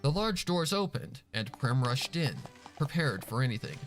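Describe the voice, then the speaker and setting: A man's voice: mid-range voice, big pitch swings, normal speed, soft background music. A man reading scripted story narration as a fanfiction narrator.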